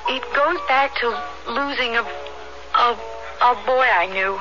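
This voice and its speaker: A woman playing a frightened, bewildered young American woman in a 1970s radio drama, speaking in a halting, pleading way. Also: a little high, muffled recording. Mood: confused, distressed. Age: young adult. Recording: band-limited 1970s radio recording, music behind.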